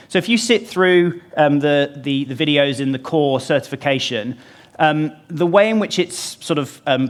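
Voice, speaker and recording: A man speaking on stage at a conference into a lapel mic, talking in a conversational way with many ums and restarts. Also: mid-range voice. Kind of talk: conference presentation. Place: conference stage before an audience. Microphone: lapel mic pack.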